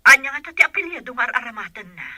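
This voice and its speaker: A woman's voice: high voice, lively intonation, rapid-fire, pushed and bright, exaggerated voice. A woman acting a protective, plain-spoken provincial mother in an Ilocano radio drama. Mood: teasing, curious.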